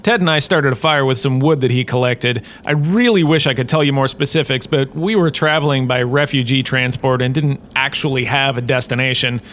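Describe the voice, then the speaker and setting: A man's voice: mid-range voice, fairly quick, hardly any pauses, a bit bright, a little hiss or hum, natural voice. A man playing a pompous, touchy sci-fi university lecturer, talking in a wry, conversational storytelling way with theatrical indignation. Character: pompous, touchy, chatty male sci-fi university lecturer on famous bounty hunters. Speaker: host, lecturer. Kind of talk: scripted comic in-character lecture.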